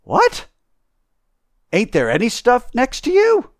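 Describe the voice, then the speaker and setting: Storybook tramp, played by a male narrator: stubborn, baffled, coarse-spoken blusterer, pitched up, in a dry close recording. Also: wildly swooping pitch, slow, no room sound, full character voice. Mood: exasperated. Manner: colloquial dropped grammar, blustering. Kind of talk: acted audiobook storytelling.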